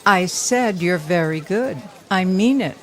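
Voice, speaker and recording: A woman acting in an audio drama as a guarded, wealthy businesswoman with a measured, dryly teasing delivery. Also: fairly low pitch, lively intonation. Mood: sincere, businesslike. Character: dry, guarded, wealthy woman steel mill owner. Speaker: actor.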